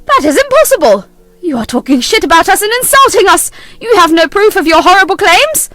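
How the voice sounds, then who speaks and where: Woman putting on a shrill panicking mother-in-law. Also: lively intonation, a little fast, dry recording, heavily acted. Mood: indignant. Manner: pleading, high and loud.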